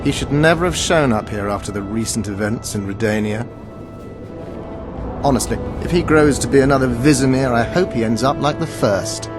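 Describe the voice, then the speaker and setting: An actor playing an arrogant, coarse and mocking soldier in a fantasy video game. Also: male voice, medium pitch, normal speed, music in the background, natural voice. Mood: scornful banter. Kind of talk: dramatic game dialogue.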